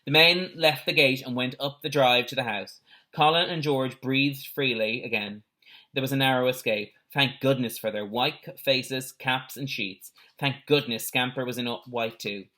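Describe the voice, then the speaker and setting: A man's voice: mid-range voice, normal speed. A man reading a children's adventure story aloud like a teacher, expressively, with the odd explanatory aside. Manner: animated character voices with explanatory asides.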